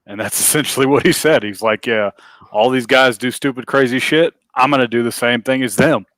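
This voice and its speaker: A man with a mid-pitched voice, recorded dry without room sound. He talks casually as a co-host, blunt and foul-mouthed, often chipping in with short interjections. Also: a little fast, a bit bright, no room sound. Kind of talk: casual wrestling review chat.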